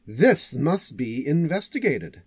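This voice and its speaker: A man's voice: a little high, big pitch swings, slow, breathy edge, no echo, exaggerated voice. Man voicing a dry, pompous old master archivist. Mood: rising excitement. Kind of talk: audiobook character voice.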